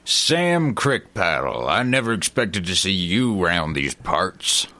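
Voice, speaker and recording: A man's voice: low voice, big pitch swings, takes it slowly, pushed and bright, pitched below the performer's own voice, exaggerated voice. A man playing a swaggering, disdainful old-west handyman with a theatrical drawl in a comedy radio play. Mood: menacing.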